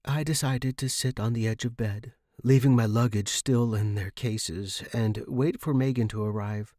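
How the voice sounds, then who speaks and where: A man's voice: mid-range voice, fairly even intonation, normal speed, warm tone, dry recording, clean studio sound. A man reading a scripted first-person horror story as a podcast narrator.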